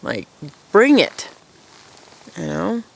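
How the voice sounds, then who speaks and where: A woman with a low voice, chatting casually to camera outdoors at her forest camp through a camera-mounted mic, rambling and self-deprecating. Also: sing-song, drawn-out words, gravelly, rough recording. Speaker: vlogger living at a wilderness camp.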